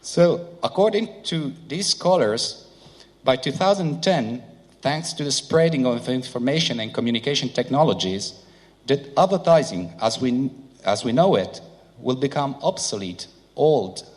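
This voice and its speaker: A man's voice: medium pitch, lively intonation. Non-native English-speaking male seminar speaker. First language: not English. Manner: halting, with many fillers. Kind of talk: conference presentation with interpreter. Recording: hall reverb.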